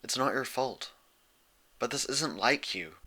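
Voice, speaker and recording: A man's voice: mid-range voice, lively intonation, a little slow, bright, forceful tone. A man voicing an earnest, exasperated young female unicorn pony in a storybook reading, insistent and pleading. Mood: gentle.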